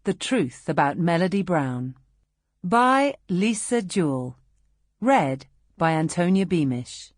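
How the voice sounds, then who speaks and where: Woman, British accent, low pitch, dry close sound, measured expressive audiobook narration. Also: dry recording. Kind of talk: scripted literary narration.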